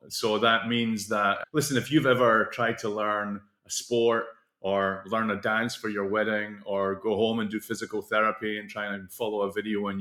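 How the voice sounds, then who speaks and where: A middle-aged man talks at length in a relaxed interview, his voice sitting on the low side. His speech rings with a noticeable room echo, as if recorded in an open space. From Scotland. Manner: talkative, explains in long flowing runs. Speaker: guest, company founder. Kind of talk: casual interview storytelling. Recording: roomy echo.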